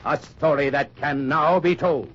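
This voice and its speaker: A man's voice: on the high side, measured pace, a bit bright. A man announcing an old-time radio drama in a formal, dramatic way. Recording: muffled old radio recording with music.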